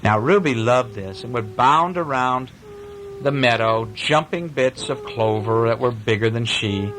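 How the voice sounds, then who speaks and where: A man reading a storybook aloud to children in a playful, animated way, with asides to his young listeners. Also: mid-range voice, unhurried, noisy background. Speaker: parent reading a bedtime story.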